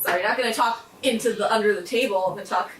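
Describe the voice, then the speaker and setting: A woman's voice: mid-range voice, racing, far from the mic, a little hiss or hum. A woman chatting casually and playfully as a player in a tabletop game, recorded in a roomy space a little off the mic. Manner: playful, excitable nerdy asides. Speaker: player on a tabletop RPG podcast.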